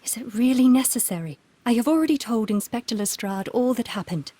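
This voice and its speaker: A woman's voice: fairly high pitch, expressive, brisk, mellow, no echo. A woman voicing an unhappy aristocratic Victorian widow with a formal, refined, plaintive manner in a video game. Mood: formal, sorrowful. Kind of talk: video game cutscene acting.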